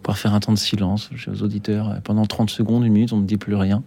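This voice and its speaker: Male radio host, warm, mellow, measured delivery. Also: fairly low pitch, level tone, creaky, a little hiss or hum. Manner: measured, gently hesitant. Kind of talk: live radio talk show hosting. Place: radio studio.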